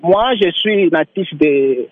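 A young man from Maniema calling in to a radio show, complaining in a halting way and repeating words. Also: on the high side, no echo, narrow, tinny audio. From Maniema, Congo. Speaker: radio caller. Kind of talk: call-in comment.

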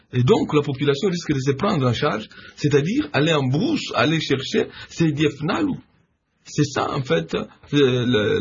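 Casting: civil society leader, husky and gravelly, halting, dull lo-fi line. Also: male voice, medium pitch. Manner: hesitant, many fillers, measured. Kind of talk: phone-in radio interview answers.

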